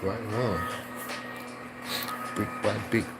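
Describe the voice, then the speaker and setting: A man reading aloud in a casual, halting way, repeating phrases and dropping in informal off-the-cuff comments. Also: fairly low pitch, little pitch movement, vocal fry, loud music behind the voice. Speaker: host reading aloud from an old newspaper.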